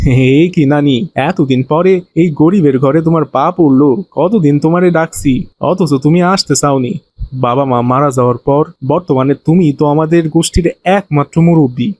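Man acting a high-voiced poor village father, Bangladeshi accent. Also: fairly quick, a little mellow, close to an everyday voice. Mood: warm. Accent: Bangladeshi colloquial Bengali. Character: poor, pious, kind-hearted village father. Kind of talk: cartoon voice acting.

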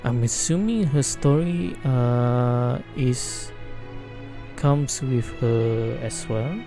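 A man's voice: mid-range voice, extremely slow, mellow, faint music behind. A man giving casual game playthrough commentary, muttering as he thinks aloud. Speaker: gaming video host.

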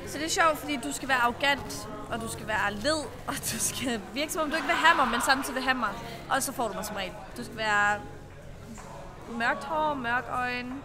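A bold, self-assured woman in her twenties from Aarhus, with a Danish accent, answering interview questions to camera at an event. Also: on the high side. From Denmark. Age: 20s. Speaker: reality show contestant. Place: event backdrop, indoors. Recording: loud music behind.

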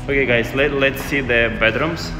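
A man's voice: mid-range voice, fairly even intonation, moderate pace. A man hosting a casual property tour vlog from a phone camera, upbeat and repeating his remarks. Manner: upbeat, repeats phrases as he chats. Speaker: real estate vlogger, tour host. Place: unfinished apartment indoors, bare echoing rooms. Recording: echoey empty room, long reverb, music over it.

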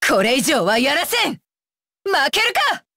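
A high, dry-recorded young warrior woman from an anime fighting game. She is stern and scornful, with widely swinging pitch and a deliberate, commanding delivery. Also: very animated, bright, forceful tone, dry recording, full character voice. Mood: defiant. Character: fierce, disdainful young shinobi warrior woman, dutiful and stern. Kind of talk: Japanese anime video game battle voice lines.

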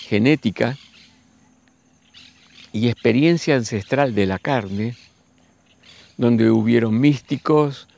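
A man, giving a slow, musing spiritual monologue and speaking straight to his listeners. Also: medium pitch, gravelly, a little mellow. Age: 60s. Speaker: spiritual teacher.

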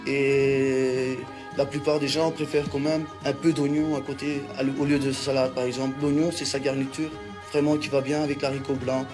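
A man from Belgium, giving a relaxed documentary interview with small hesitations. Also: medium pitch, normal speed, hardly any pauses. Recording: music playing under the voice.

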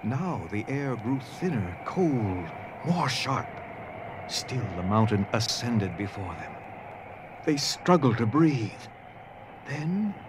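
A man narrating a dramatized story theatrically, with dramatic pauses and hushed emphasis. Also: mid-range voice, noisy background. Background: music.

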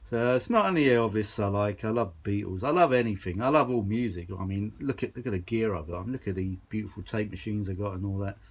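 A man's voice: fairly low pitch, brisk, hardly any pauses. A man chatting casually and off the cuff, rambling along with informal enthusiasm as he shows his gear. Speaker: vintage audio enthusiast presenting his gear. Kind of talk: casual off-the-cuff chat, handheld demo. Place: carpeted room at home.

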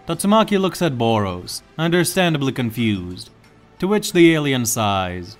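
A man's voice: medium pitch, big pitch swings, moderate pace, faint music behind. A man reading scripted, dramatic story narration as the narrator.

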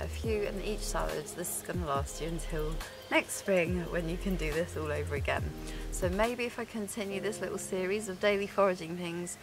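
A woman in her thirties chatting casually and enthusiastically to camera as she hosts an outdoor vlog in the woods. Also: on the low side. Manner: enthusiastic, giggly. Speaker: vlog host, forager. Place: outdoors in a woodland.

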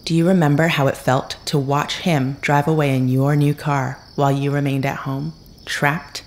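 A woman playing a cold, unsparing otherworldly woman in an audio drama, with measured, formal, precise diction. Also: sits low, flat delivery, a little mellow, lightly acted. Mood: cold, accusing.

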